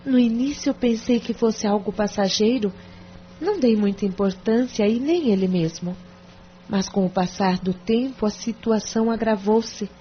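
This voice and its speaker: A woman's voice: a little high, moderate pace, lightly acted. An actress with a Brazilian accent playing a reserved, embarrassed married woman confiding in a hesitant way in an audio drama. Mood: confiding, sorrowful. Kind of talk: audio drama acting in Portuguese. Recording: dull lo-fi audio with clear noise.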